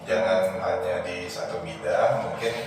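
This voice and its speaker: A man's voice: low-pitched, steady tone, a little slow. A man with a Jakarta Indonesian accent giving casual interview advice, informally explaining things with quoted examples and rhetorical questions. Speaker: interview guest, creative industry professional. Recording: very echoey room, distant mic, music behind.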